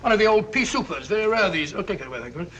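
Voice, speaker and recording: An actor playing a jovial, bumbling older British gentleman telling tales in a hesitant, self-important way, in 1940s film style. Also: high-pitched, animated, racing, slightly rough, highs cut off, heavily acted. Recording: noisy old film soundtrack.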